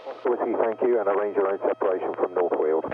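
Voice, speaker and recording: A man's voice: lowish voice, fast talker. A male air traffic controller giving clipped, businesslike procedural instructions over an aircraft radio. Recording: tinny, noisy aircraft radio.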